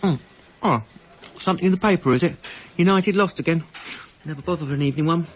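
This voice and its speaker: English male actor as brisk junior detective, chatty, cheeky but deferential; fast delivery, radio drama, slightly dull recording. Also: a little high, slight background noise, close to an everyday voice. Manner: colloquial, gossipy. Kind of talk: British radio crime drama acting. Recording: dull radio broadcast copy.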